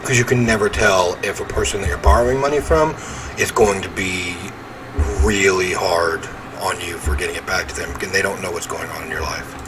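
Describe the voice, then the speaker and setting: A man with a fairly low voice hosts a let's-play. He talks casually, reading out game text and adding informal asides. There is heavy noise behind him. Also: brisk. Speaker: gaming video host.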